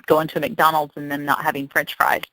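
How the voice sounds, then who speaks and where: A woman's voice: sits low, flat delivery, a little fast, bright, forceful tone, no echo, low-quality recording. A woman giving an informal, upbeat sales presentation on a conference call, talking in a chatty, testimonial way as a guest consultant. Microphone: telephone conference call.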